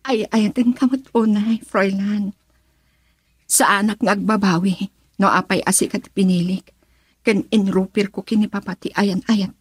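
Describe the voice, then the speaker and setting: A woman's voice: mid-range voice, fairly even intonation, a little slow, husky, dry recording. A woman playing a loving young wife, plaintive and tender, in an Ilocano radio drama. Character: loving, wistful young wife, hurt by her father.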